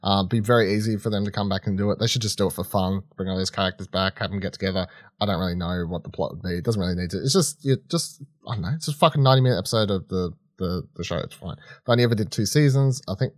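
A man's voice: low voice, racing, dry recording, studio quality. A man co-hosting a podcast in casual banter, blunt and offhand, swearing freely. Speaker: podcast co-host.

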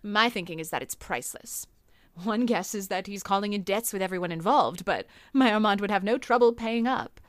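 A woman playing a sultry, sharp-tongued nightclub singer and informant in a noir comedy audio drama, flirtatious and sardonic. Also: mid-range voice, fairly quick, dry recording, clean studio sound, natural voice. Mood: knowing, amused. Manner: flirtatious, sardonic, wordplay-heavy banter.

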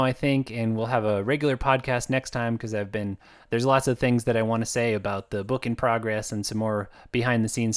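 A man from the United States, an author hosting his own podcast, talking in a chatty, reflective way with fillers and soft laughs. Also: medium pitch. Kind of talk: casual podcast monologue.

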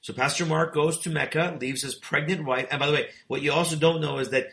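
A male talk show host with a bright, forceful voice, interviewing his guest. He recaps the story for listeners, stopping and restarting his sentences often. The room sounds echoey. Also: fairly high pitch, steady tone, a little fast.

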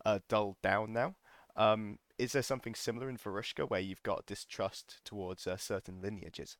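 Low-voiced man hosting a casual chat. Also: moderate pace, no echo. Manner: relaxed, jokey, many fillers. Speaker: podcast host.

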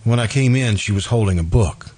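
An actor playing a troubled, devoted suitor in an old-time radio drama, speaking in an earnest, uneasy, remonstrating way. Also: male voice, fairly low pitch, a little fast, a little mellow, slightly rough. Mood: recounting, subdued. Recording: noisy recording with background hiss.

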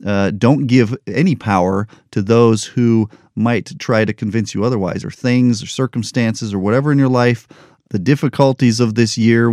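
A man's voice: on the low side, dark tone, no echo, studio quality. A man in his forties hosting a motivational podcast, talking candidly and unscripted with a joking touch. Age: 40s. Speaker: podcast host. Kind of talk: solo motivational podcast monologue.

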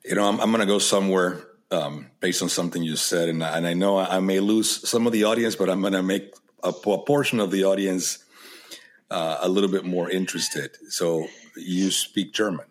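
A man's voice: sits low, fairly even intonation, normal speed. A man hosting a podcast in a conversational interview, speaking English as a second language after Spanish, often repeating words as he searches for them. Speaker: podcast host.

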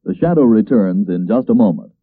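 A man's voice: on the low side, expressive, fairly quick, no room sound, soft background music. An American man reading a scripted appeal as a 1940s radio announcer. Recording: dry, tinny old radio recording.

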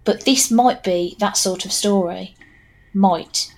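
A woman's voice: mid-range voice, takes it slowly, a little mellow, slight background noise, rough recording. A woman narrating a scripted story in a wry, conversational way with knowing asides.

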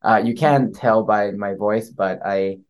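A soft-spoken young man from Thailand talks as a podcast guest. He often trips over and repeats his words as he explains things. His voice sits fairly high for a man. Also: steady tone, a little slow. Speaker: winemaker and winery owner.

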